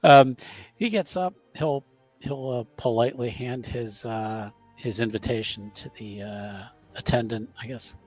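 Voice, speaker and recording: A man's voice: mid-range voice, unhurried, a little mellow, dull audio, soft background music. A man playing a tabletop game, chatting casually and improvising in a stop-start way, repeating words.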